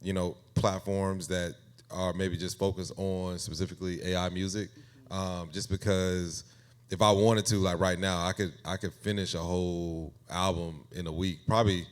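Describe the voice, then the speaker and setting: A man speaking casually as an interview guest, thinking aloud in a halting, conversational way. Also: low-pitched, level tone, clean tone. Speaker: guest AI music creator.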